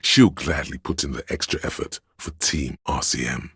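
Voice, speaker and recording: Grave game narrator, extremely low pitch, heavily husky rasp, brooding, deliberate, literary storytelling. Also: male voice, fairly bright tone, no echo, studio quality, heavily acted. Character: brooding omniscient inner voice narrating weary police life. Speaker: voice actor narrating a detective game. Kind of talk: dramatic literary scripted narration.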